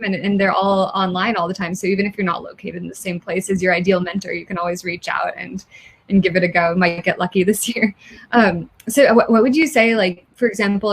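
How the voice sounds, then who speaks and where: A woman hosting an interview, asking questions in a chatty, friendly way. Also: medium pitch. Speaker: interviewer host.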